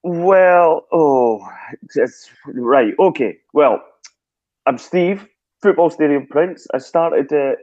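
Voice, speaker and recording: A Scottish man, a guest telling lively stories in a casual interview and acting out his own past thoughts. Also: on the high side, slow pace, no echo, lo-fi sound. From Scotland.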